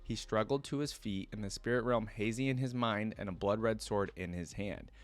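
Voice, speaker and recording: A man giving casual reaction commentary, reading aloud and thinking out loud in an informal, musing way. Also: lowish voice, normal speed, dry recording. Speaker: reaction video creator.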